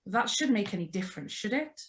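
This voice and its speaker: A woman acting an animated, chatty young wife. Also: medium pitch, fairly quick, soft background music, close to an everyday voice. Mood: nervous, confiding. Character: chatty, nervous, trusting young newlywed wife. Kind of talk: radio drama acting. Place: remote, on a Zoom video call. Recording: live video call reading.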